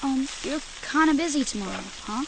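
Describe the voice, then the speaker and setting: A woman voicing an earnest, polite young schoolboy with a hesitant, eager manner in an animated game. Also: high-pitched, brisk, vocal fry, background noise. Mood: sly, cheerful. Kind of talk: animated game cutscene acting.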